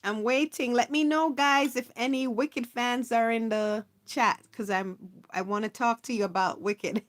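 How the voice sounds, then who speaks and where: This is a woman hosting a relaxed live stream, speaking in a friendly, encouraging way. She reads viewers' comments aloud and chats back into her own mic. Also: on the high side, measured pace. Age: adult, not young. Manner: warm, encouraging, reads chat aloud, chatty with laughs. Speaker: livestream host. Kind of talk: casual livestream chat with viewers.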